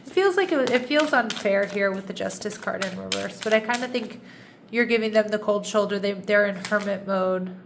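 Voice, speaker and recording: Woman, tarot reader, slightly nasal, congested, chatty, restarts often, mid-pitched, faint background hum. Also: normal speed, creaky. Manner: chatty, warm asides, frequent restarts. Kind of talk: casual tarot reading.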